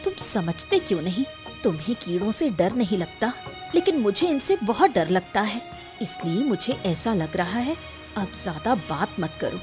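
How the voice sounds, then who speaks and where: A woman's voice: mid-range voice, very animated, moderate pace, over music, lightly acted. Fretful, nagging cartoon wife voiced by a woman. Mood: annoyed. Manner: complaining, insistent. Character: fretful nagging wife, squeamish about insects. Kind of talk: Hindi moral-story cartoon dubbing.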